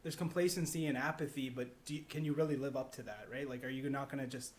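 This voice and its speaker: A man's voice: mid-range voice, fast. A man chatting casually, explaining his idea and checking in with his listeners. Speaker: podcast panelist pitching a movie idea. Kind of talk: casual chat.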